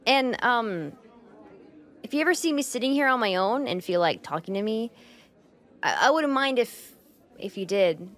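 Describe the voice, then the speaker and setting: A woman's voice: a little high, expressive, normal speed, pauses often, a bit bright, some chatter behind. Shy, flustered young woman, acted by a woman. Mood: flustered, grateful. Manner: hesitant, self-conscious rambling with nervous giggles. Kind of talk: roleplay ASMR monologue spoken to the listener.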